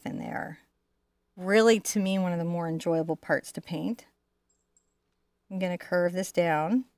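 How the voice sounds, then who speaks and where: A woman's voice: on the low side, a little mellow, no echo. Female painting host, middle-aged, chatty and informal, prone to wandering asides, closely recorded with no room sound. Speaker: host teaching a live painting class. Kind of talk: casual chat and painting demonstration. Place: indoor art studio.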